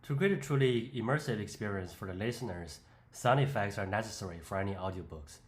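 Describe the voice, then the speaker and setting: Low-voiced man presenting a software tutorial. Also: brisk. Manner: step-by-step, instructional. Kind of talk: explanatory screen-demo voice-over.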